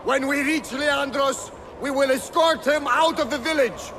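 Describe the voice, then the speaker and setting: Over clear rumbling noise, a harsh soldier calls out orders in a game cutscene. His voice is raised very high, urgent and commanding. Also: a little slow. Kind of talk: video game cutscene acting.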